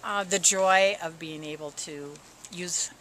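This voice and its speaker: An adult camper answering interview questions in a thoughtful, measured way. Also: mid-range voice, a bit bright, slight background noise.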